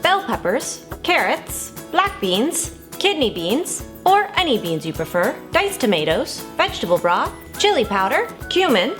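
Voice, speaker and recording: A woman narrates recipes with bubbly enthusiasm, her voice high and leaping around a lot. Soft music plays under her. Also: sing-song, unhurried, hardly any pauses. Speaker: cooking channel host. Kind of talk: cooking vlog narration.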